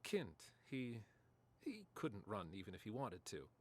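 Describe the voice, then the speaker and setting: A man's voice: lowish voice, huge pitch swings, a little slow, exaggerated voice. A man playing a corrupt, self-assured senior police officer in an audio drama. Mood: controlled, assured.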